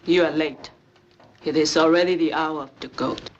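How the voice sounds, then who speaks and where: An actress playing a cool, stern go-between for a crime boss in an old film, husky breathy voice and clipped, formal broken English. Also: female voice, low-pitched, fairly even intonation, moderate pace, lo-fi sound, a little hiss or hum, natural voice. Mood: cool, disdainful.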